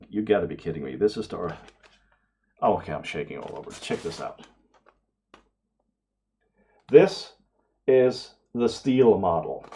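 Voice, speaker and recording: A man giving casual unboxing commentary to camera, excitable and prone to awestruck exclamations and hesitations. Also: lowish voice, a little hiss or hum. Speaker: vlogger, radio amateur hobbyist.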